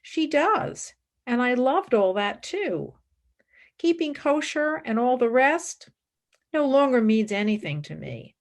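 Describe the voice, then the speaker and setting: An actress plays a searching young woman intellectual in a radio play. Her voice sits a little high and moves dramatically up and down. She speaks with earnest, declamatory intensity. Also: very animated, slow pace, full character voice. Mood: spirited.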